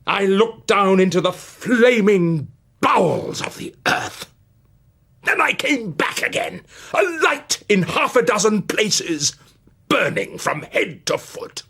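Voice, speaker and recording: Guy doing a fired-up victorian author for radio drama, big swoopy delivery, bit husky. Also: high voice, sing-song, slow pace, sharp tone, voice pushed up, exaggerated voice. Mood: triumphant, breathless. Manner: theatrical, exclamatory. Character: Charles Dickens, triumphant, fiery, exuberant male writer.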